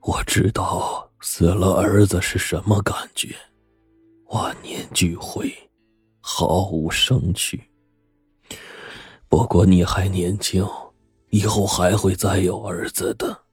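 Man playing a weary, remorseful steward, hushed and low. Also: steady tone, slow pace, pauses often, no room sound, soft background music, heavily acted. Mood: resigned, bleak. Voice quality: hushed, husky. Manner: heavy, resigned, sighing. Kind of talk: audiobook character acting.

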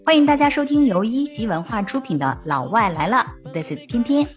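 A woman's voice: on the high side, expressive, a little fast, soft background music. A young woman from China hosting a language podcast, switching between Chinese and English with a Chinese accent, friendly and full of mm-hmms. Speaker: host. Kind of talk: bilingual language-learning podcast.

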